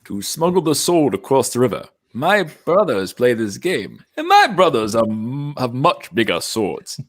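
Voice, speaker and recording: A smug Imperial officer played by a man with a hugely swooping, theatrical delivery. He wheedles and brags his way through a deal in a comedic table read, at a mid-range pitch. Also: huge pitch swings, measured pace. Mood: smug, bargaining. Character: cocky, wheedling male Imperial officer and dealmaker. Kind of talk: comedic live table read of a fan script.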